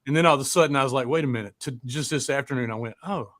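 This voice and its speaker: A man's voice: medium pitch, rapid-fire, fairly bright tone. A man hosting a casual live chat in a rambling, joking manner with plenty of swearing. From American South. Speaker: podcast host.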